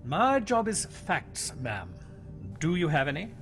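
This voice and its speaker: With music underneath, a shrewd police inspector in a fairly high male voice. He is dry, clipped and authoritative, with lively radio-drama intonation. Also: slow pace, full character voice. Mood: dry, deliberate. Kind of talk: period radio crime drama acting.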